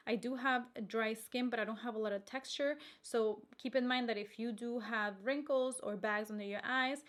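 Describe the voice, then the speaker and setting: A woman's voice: fairly high pitch, steady tone, normal speed, a bit bright. A young woman from Colombia whose first language is Spanish, chatting enthusiastically in a casual product review vlog. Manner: chatty, enthusiastic, self-correcting. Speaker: beauty vlogger.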